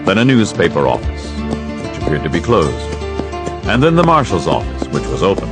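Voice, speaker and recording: A man narrating a western audio drama in a calm, even storytelling manner. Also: low-pitched, normal speed. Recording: music bed under narration.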